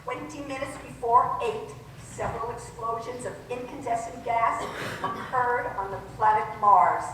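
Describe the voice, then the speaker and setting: A woman's voice: fairly high pitch, fairly even intonation, unhurried, a bit bright. A woman playing a serious, formal newsreader with urgent bulletins in an old radio drama. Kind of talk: old-time radio news drama acting. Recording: echoey hall from far off, noisy.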